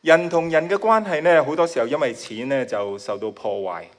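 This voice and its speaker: A man's voice: on the high side, brisk. A man with a Hong Kong accent, a native Cantonese speaker, preaching a sermon in short chunks with modest, shy laughs. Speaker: guest preacher.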